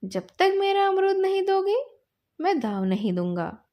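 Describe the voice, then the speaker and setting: Woman playing a petulant, proud little boy, high-pitched. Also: big pitch swings, a little slow, warm tone, full character voice. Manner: petulant, defiant, bargaining. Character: proud, wriggly young boy, a policeman's son, arguing.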